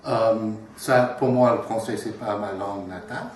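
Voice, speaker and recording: A man whose first language is English, answering interview questions in careful second-language French, measured and trailing off softly at phrase ends. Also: on the low side, fairly even intonation. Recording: echoey room, from far off, faint noise.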